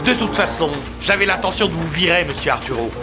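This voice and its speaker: A man's voice: on the high side, animated, fast, close to an everyday voice. A man doing a scolding cartoon TV boss. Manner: scolding, indignant. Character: stern scolding male TV boss. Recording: music bed behind voice.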